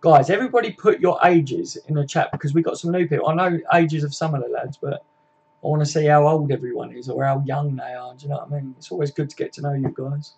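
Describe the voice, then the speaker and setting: A man's voice: a little high, fast talker, vocal fry, a little mellow, slightly rough, rough recording. A man, hosting a casual live stream, chatting matily with viewers and laughing easily. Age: 20s.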